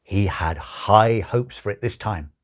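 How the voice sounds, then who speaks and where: A man narrating a story as an audiobook reader, with a wry, measured and expressive delivery. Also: fairly low pitch.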